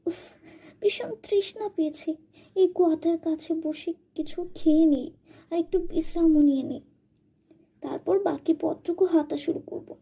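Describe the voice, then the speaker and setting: A woman's voice: extremely high pitch, measured pace, creaky, warm tone, pitched above the performer's own voice, exaggerated voice. A woman voicing an innocent, caring young village girl in a cartoon, speaking in an earnest, childlike, pleading way. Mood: tired, musing.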